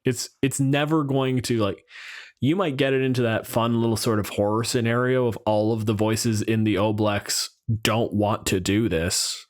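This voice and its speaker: A man's voice: lowish voice, fairly even intonation, moderate pace. A man hosting a podcast in a casual, joking discussion style, thinking aloud and arguing his points with some swearing. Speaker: podcast host.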